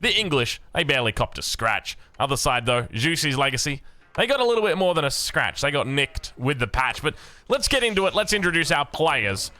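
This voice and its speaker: A young Australian man casting a video game match, energetic and excitable, his voice a little hoarse from a long day of commentary. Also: a little fast, no echo. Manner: excitable, energetic, big pitch swings. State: slightly hoarse. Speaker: game caster.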